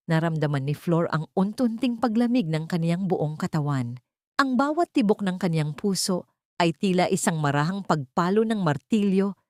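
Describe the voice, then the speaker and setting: A woman's voice: fairly low pitch, dark tone, no echo, clean studio sound. A woman with a Filipino accent reading a scripted, dramatic audio story as its narrator.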